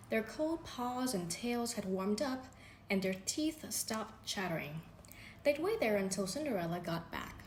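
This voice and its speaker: A woman reading a children's bedtime story aloud, gently and expressively. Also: mid-range voice. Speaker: storybook reader. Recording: echoey room with faint background noise.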